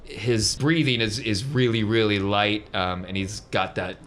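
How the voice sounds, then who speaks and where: Low-voiced man narrating a tabletop game. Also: fairly even intonation, a little slow, over a noisy crowd. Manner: vivid descriptive storytelling. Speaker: game master.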